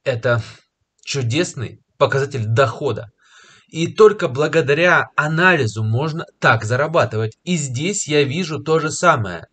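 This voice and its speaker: A young man who is slightly ill and clears his throat now and then, confidently talking viewers through a price chart, recorded on a webcam in a small room. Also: fairly high pitch, lively intonation, sharp tone. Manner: confident, persuasive, pausing with filler words. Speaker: crypto market commentator. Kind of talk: screen-share market analysis to camera. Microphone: webcam in a corner of a screen recording.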